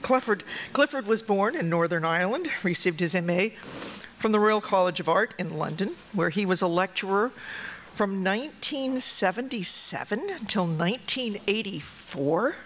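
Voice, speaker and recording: Woman host introducing a speaker, with a bright, forceful voice and dry, teasing humor, in a room with a faint background hum. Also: medium pitch, lively intonation, moderate pace. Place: conference lecture hall.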